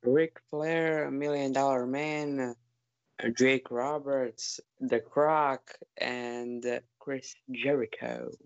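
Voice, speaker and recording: A man co-hosting a casual podcast, talking in a halting, deadpan way. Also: mid-range voice, smooth, dry recording, lo-fi sound. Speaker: podcast co-host. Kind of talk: casual chat ranking wrestlers.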